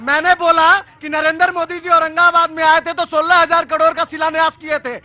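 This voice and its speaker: Very high-pitched teenage student leader. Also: male voice, monotone, brisk, a little hiss or hum. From Bihar, India. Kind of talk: impassioned political argument. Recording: muffled outdoor sound among a crowd.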